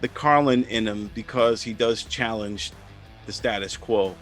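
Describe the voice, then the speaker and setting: A man hosting a casual chat, speaking in halting phrases and often repeating words. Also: fairly low pitch, fairly bright tone, soft background music. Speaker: host.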